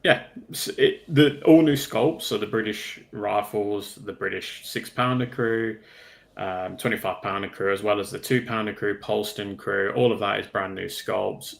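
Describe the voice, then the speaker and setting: A man's voice: lowish voice, normal speed, vocal fry. A man talking casually in a livestream panel discussion, going into detail in a pedantic way. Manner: detailed, self-confessedly pedantic.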